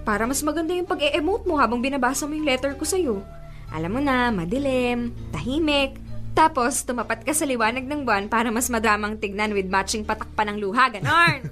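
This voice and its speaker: A woman's voice: on the high side, animated, brisk, fairly bright tone, faint music behind. An actress playing a devoted, coaxing young girlfriend in a Filipino radio drama, with a Filipino accent. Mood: playful, teasing. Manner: emotive, coaxing, teasing. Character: pleading, playful young girlfriend, devoted and sweet.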